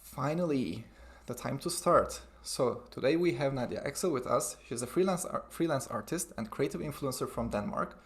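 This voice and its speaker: A man's voice: medium pitch, gravelly. A man hosting an online event over a video call, reading out promotional announcements and introducing a guest. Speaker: webinar host.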